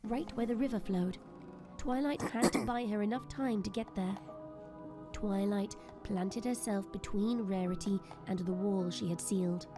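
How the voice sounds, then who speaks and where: A woman's voice: mid-range voice, unhurried. A woman reading scripted, dramatic story narration as the narrator of an audio drama. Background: music.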